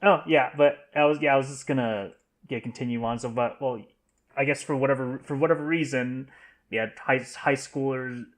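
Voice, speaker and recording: A man's voice: medium pitch, fairly even intonation, normal speed. A man in a casual panel chat, speaking hesitantly and searching for his words. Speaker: podcast panelist.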